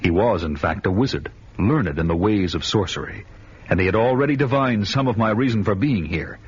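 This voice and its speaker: An actor playing a vengeful barbarian warrior, narrating his quest in a grim, measured way. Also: fairly low pitch, a little hiss or hum, natural voice. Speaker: narrator and hero. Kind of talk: first-person radio drama narration. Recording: dull lo-fi audio with music behind.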